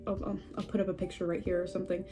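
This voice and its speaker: A young woman speaks with a stuffy, slightly scratchy voice from a cold, her tone very dark and mellow. She rambles in a chatty, self-deprecating way, often laughing at herself. Faint guitar music plays underneath. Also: fairly low pitch, level tone, rapid-fire. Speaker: horse breeder vlogger. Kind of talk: casual update vlog. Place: at home among houseplants.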